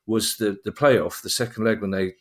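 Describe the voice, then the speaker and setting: A man's voice: lowish voice, moderate pace. A man hosting a podcast in casual chat, with a wry, wordy, self-deprecating manner. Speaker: podcast host.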